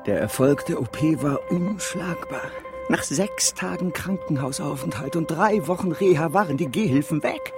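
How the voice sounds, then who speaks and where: An actor in a German radio play voicing an ailing, driven male campaigner who turns anguished, with theatrical swings between slogans and halting confession. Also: on the high side, lively intonation, music in the background. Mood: proud.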